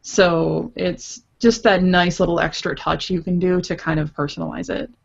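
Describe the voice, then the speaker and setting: A woman's voice: on the low side, little pitch movement, moderate pace, creaky, lo-fi sound. A woman explaining her work casually as an interview guest, in hesitant, stop-and-start phrasing. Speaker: guest, visual novel creator.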